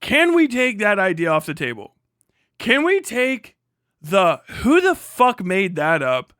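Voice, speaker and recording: A man's voice: sits high, huge pitch swings, a little slow, a bit bright, dry recording, clean studio sound. A man hosting a live stream in a casual, rambling, slangy and profane way, with some showy announcer-like address to viewers. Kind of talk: casual rambling livestream commentary.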